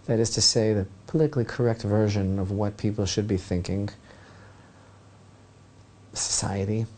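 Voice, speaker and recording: Mellow-voiced rabbi telling a legend. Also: male voice, medium pitch. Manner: dramatic storytelling, asides to listeners. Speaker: rabbi, teacher. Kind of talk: Torah lesson retelling a legend.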